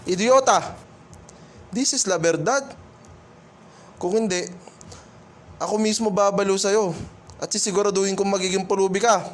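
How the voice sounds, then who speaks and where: A man playing a stern, hot-tempered military commander in a radio play, with a gruff, commanding manner. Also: high voice, fairly even intonation, normal speed, pauses often, slight background noise, close to an everyday voice. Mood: angry, threatening.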